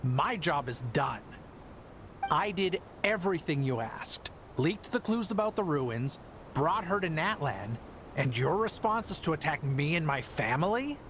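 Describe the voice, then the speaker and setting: A man's voice: fairly high pitch, big pitch swings, a little slow, fairly bright tone, a little hiss or hum. An actor playing a desperate but defiant family man in a video game, speaking with earnest agitation. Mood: indignant.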